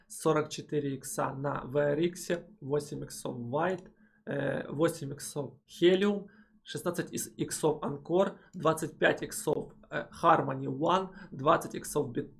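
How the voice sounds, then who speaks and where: Young man with a gravelly, husky voice. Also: fairly high pitch. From Ukraine. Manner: animated, emphatic, with frequent filler "э". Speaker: crypto channel host. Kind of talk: casual chat answering viewer comments. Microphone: headset.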